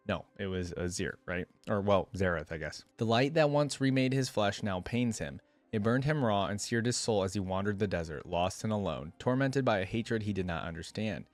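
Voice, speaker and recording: A man's voice: lowish voice, no echo. A man reading a story aloud in a solemn, dramatic way, with casual asides as a reaction host.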